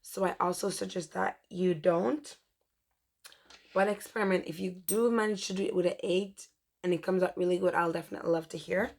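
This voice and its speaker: A woman's voice: lowish voice, fairly even intonation, a little fast, a little mellow. Woman with a strained, half-lost voice. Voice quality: strained, rough. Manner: rambling, self-correcting. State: voice mostly gone, dry throat. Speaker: crochet tutorial host and shop owner. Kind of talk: chatty crochet walkthrough.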